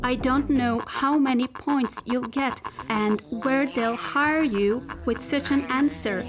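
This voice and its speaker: A woman playing a patient, detached spacesuit AI in a scripted science fiction audio drama, speaking deliberately and instructively. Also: high voice, over music. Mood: patient, eerie. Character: female spacesuit AI machine, patient, detached, rambling.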